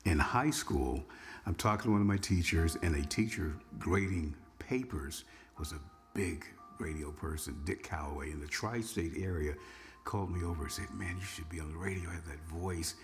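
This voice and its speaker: A man's voice: low-pitched, moderate pace, faint music behind. A man in his sixties, a former radio DJ, telling his story casually in an interview. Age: 60s. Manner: relaxed, reminiscing, conversational. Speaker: former radio news reader and DJ.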